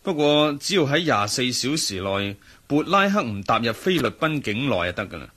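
An actor playing a sociable, sceptical agency chief in a Hong Kong Cantonese radio drama, talking in an emphatic, conversational way. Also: male voice, medium pitch, very animated, moderate pace, pushed and bright. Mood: concerned.

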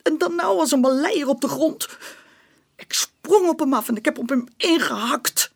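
An actress playing a bitter, stubborn working-class mother giving a confession in a Dutch radio play, speaking colloquially and haltingly. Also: high voice, lively intonation, creaky. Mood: agitated.

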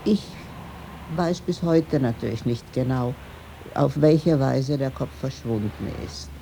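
Gravelly older woman reminiscing. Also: very deep voice, slow. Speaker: interviewee. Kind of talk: spontaneous reminiscence. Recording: noisy location recording with vehicle sounds.

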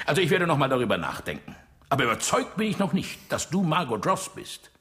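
A man's voice: on the high side, big pitch swings, a little fast, a bit bright. An actor playing an older, menacing dictator in a radio drama, mocking and commanding as he interrogates. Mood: probing, threatening.

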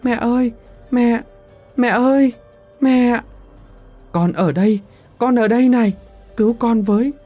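A man's voice: a little slow, pauses often, a little mellow, faint music behind. A man doing a wailing ghost child. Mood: desperate pleading. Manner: high, pleading, sobbing cries. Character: dead fetus spirit, small child wailing for mother.

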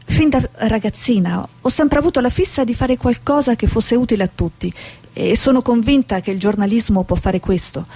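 Idealistic woman journalist for a stage drama, played by an actress: earnest, determined, higher-pitched with a husky edge. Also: moderate pace, warm tone, no echo. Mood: reflective, earnest.

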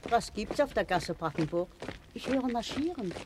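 A woman's voice: on the high side, lively intonation, moderate pace, lightly acted. An actress playing a concerned, reproachful mother in a vintage German radio play, theatrical and admonishing. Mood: curious. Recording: noisy, crackly 1949 radio recording.

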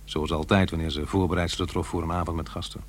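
Guy acting a brooding divorced dad, middle aged, kinda low voice, earnest n hesitant, radio play w a bit of hiss. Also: flat delivery, quick pace, natural voice. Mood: reflective.

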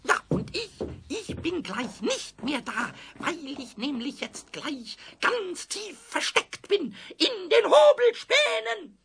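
A man voicing a cheeky, excitable little male kobold in a 1970s children's radio play, squeaky and creaky, stammering and exclaiming. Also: slow, hardly any pauses, heavily acted. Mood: sulky.

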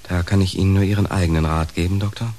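A resigned, devoted young man in a radio play, acted by a man. He speaks in a low, languid voice with wry, wistful irony, on a faintly hissy recording. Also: steady tone, fast talker, dark tone, close to an everyday voice. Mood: resigned devotion. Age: young adult. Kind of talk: radio drama acting.

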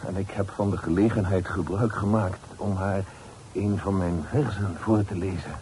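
An actor playing a wordy, deferential old gentleman poet in a radio play, hesitant and stammering, with florid turns of phrase. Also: steady tone, moderate pace, lightly acted. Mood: awkward, deferential. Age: older. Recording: old radio play, faint hiss and rumble.

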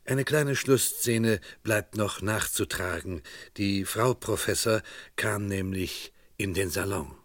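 An actor playing the wry storyteller of a 1970s radio crime comedy, narrating with measured irony straight to the listener. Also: male voice, lowish voice, fairly bright tone, dry recording. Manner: measured, ironic. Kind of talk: scripted radio play narration.

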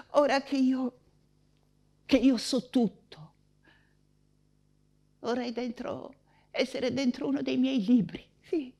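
An actress playing an anguished, feverish persecuted writer and mother in an intense theatrical radio monologue, halting and repeating her words. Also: high voice, heavily acted. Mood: bleak, subdued. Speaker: stage actress.

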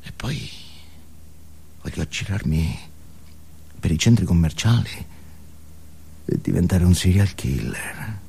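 A man's voice: sits low, slow pace, gravelly, pauses often, breathy edge, exaggerated voice. An actor playing an unhinged, foul-mouthed, alienated man in an intense, volatile comic stage monologue. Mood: deranged. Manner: intense, volatile, emphatic, swinging from muttering to yelling.

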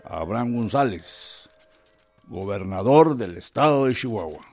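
An actor playing a courteous, cautious governor reading out a letter formally in a radio drama. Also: male voice, on the low side, lively intonation, over loud music. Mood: calm, cautioning. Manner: measured, formal. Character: courteous, cautious state governor, a revolutionary's male ally.

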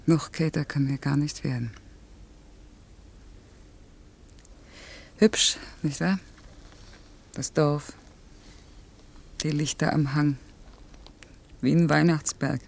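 A woman's voice: low-pitched, slow, creaky, pauses often, a little mellow, exaggerated voice. A bitter, wounded woman. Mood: bitter, wistful. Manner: terse, sardonic, dropping into whispers. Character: Regina, bitter, wounded woman being left by her lover. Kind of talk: naturalistic German radio drama acting.

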